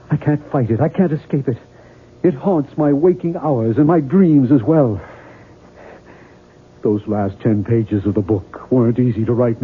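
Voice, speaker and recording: Actor as a husky, tormented novelist, radio drama. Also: male voice, mid-range voice, close to an everyday voice. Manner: earnest, dramatic, deliberate phrasing. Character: tormented, obsessive male novelist in love. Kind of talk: 1970s radio drama acting. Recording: muffled narrow-band old radio broadcast.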